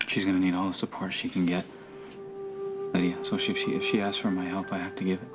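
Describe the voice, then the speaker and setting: An actor playing a reserved, awkward young husband in a TV soap, speaking in a halting, subdued way. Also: male voice, deep voice, flat delivery, music in the background, close to an everyday voice. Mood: subdued, earnest. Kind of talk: television soap opera acting. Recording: clearly noisy TV soundtrack.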